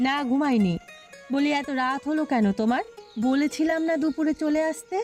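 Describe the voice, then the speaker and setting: A woman's voice: sits high, normal speed, darkish tone, soft background music, close to an everyday voice. A woman voicing a quarrelsome, suspicious village wife in a cartoon, with a scolding, accusing manner. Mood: annoyed. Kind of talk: cartoon dialogue acting.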